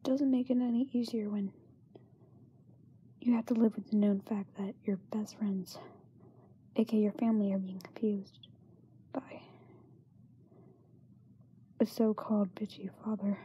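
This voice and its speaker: A young woman playing a frightened, self-doubting young singer in a halting diary monologue, often dropping to a whisper. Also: medium pitch, dull audio, natural voice. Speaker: amateur voice actor. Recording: noisy makeshift amateur microphone recording.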